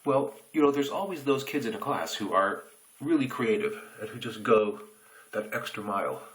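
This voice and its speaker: An American man telling a childhood story in a relaxed, reflective way while shaving, recorded on a phone camera in a bathroom. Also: medium pitch, poor audio. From United States. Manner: reflective, unhurried anecdotes with pauses. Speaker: shaving reviewer.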